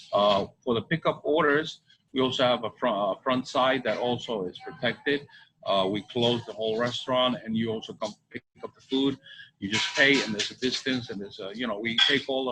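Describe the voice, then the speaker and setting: A man with a bright, forceful voice talks as a restaurant owner being interviewed. He speaks casually, often halting and repeating himself, with faint noise behind him. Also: medium pitch, rough recording. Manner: halting, repetitive phrasing.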